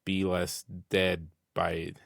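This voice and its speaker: A man's voice: deep voice, little pitch movement, drawn-out words, vocal fry, no echo, clean studio sound. A young man co-hosting a podcast, cracking puns and goofing around in casual banter. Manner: goofy, punning. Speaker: podcast co-host.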